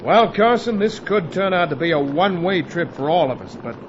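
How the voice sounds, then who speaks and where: An actor playing a gruff, money-minded American seaplane pilot in an adventure radio serial, slangy, curt and callous. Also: male voice, sits high, animated, a little fast. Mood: impatient. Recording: dull lo-fi audio with engine noise.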